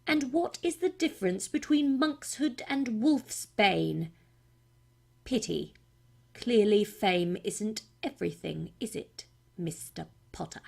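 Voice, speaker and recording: A woman performing a cold, disdainful teacher from a fantasy film. The words come slowly and precisely, with a gravelly creak and a sneering, swooping emphasis. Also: medium pitch, lively intonation, darkish tone, exaggerated voice. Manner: slow, deliberate, sneering, precise. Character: stern, disdainful potions master addressing a class. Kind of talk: film scene monologue.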